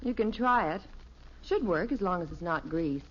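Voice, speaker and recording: An actress playing a calm, practical suburban wife and mother in a 1950s radio sitcom, gently teasing and matter-of-fact. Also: fairly low pitch, moderate pace. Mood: wry, amused. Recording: dull noisy old radio, audience behind.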